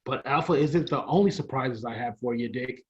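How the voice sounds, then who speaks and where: A man's voice: mid-range voice, moderate pace, natural voice. A man playing a proud older inventor professor in an old-style radio play, explaining theatrically and sometimes stumbling. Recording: dull lo-fi sound.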